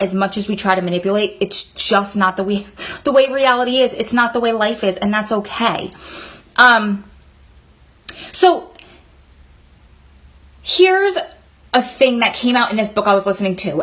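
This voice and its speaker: A woman's voice: mid-range voice, a bit bright. A woman of about forty giving candid advice in a vlog, speaking in an earnest, encouraging, conversational way. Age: 39, nearly 40. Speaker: vlogger advising prison wives.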